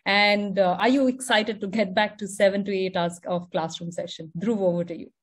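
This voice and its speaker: A woman's voice: medium pitch, fairly even intonation, normal speed, breathy edge. A woman, moderating an online panel, affirming speakers and handing over the questions. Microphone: laptop video call.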